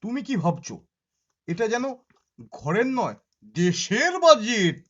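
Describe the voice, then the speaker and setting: Man as older, expressive father-in-law puppet. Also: high-pitched, measured pace, exaggerated voice. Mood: chiding. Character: older, unruffled father-in-law puppet, chiding his wife. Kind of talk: puppet show moral story acting. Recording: dull lo-fi audio.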